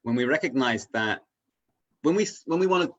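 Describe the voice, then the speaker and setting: A man talking casually and reflectively as a guest, haltingly searching for his words and often checking in with "right?". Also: medium pitch, lively intonation, a bit bright, lo-fi sound. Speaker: interview guest on spirituality.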